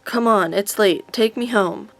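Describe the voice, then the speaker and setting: A woman's voice: mid-range voice, a little slow, no room sound, faint music behind, natural voice. A woman playing a weary, exasperated teenage girl in a radio drama.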